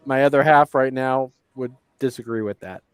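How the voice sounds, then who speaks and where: Man, tipsy, rambling, self-mocking, mid-pitched, dry close sound. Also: normal speed, clean tone, dry recording. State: drunk. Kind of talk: casual chat.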